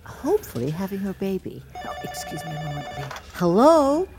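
An actress playing a polite, flustered new secretary who sticks stubbornly to the rules, formal and halting, in a naturalistic audio drama. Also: female voice, medium pitch, huge pitch swings. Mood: flustered, officious.

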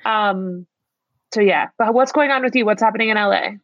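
A woman's voice: medium pitch, normal speed, no echo, lo-fi sound. A woman co-hosting a podcast in casual conversation, reacting in a gushing, informal way. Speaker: podcast co-host. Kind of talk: casual chat.

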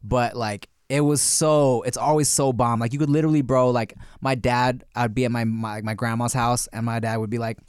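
A man's voice: medium pitch, steady tone, moderate pace, few pauses, smooth, no echo. A laid-back man co-hosting a podcast in casual chat, often saying "bro" and "like," on a close studio mic. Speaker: podcast co-host.

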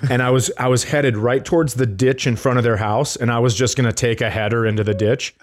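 A man with a medium-pitched voice co-hosts a casual comedy podcast. He banters and tells stories, teasing and swearing, sometimes with a mock-serious delivery. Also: fairly even intonation, brisk. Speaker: podcast co-host.

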